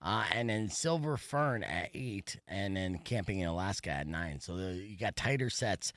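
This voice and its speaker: A man's voice: deep voice, expressive, moderate pace, fairly bright tone. A man hosting a radio show, chatting casually with a guest in a rambling way, with lots of fillers. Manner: laid-back, rambling with fillers. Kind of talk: casual on-air chat.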